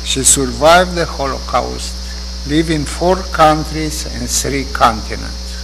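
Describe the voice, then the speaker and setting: An older man with a Romanian accent giving a measured, earnest eulogy from a lectern in a synagogue sanctuary. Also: medium pitch, vocal fry. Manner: slow, measured, earnest delivery. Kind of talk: memorial eulogy. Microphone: lectern microphone. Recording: reverberant hall with hum.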